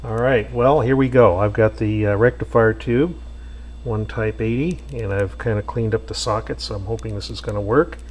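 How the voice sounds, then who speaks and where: A man restoring an old radio, talking casually to himself with lots of pauses and fillers. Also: fairly low pitch, slight background noise. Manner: relaxed, thinking aloud with many fillers. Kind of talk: casual workshop narration.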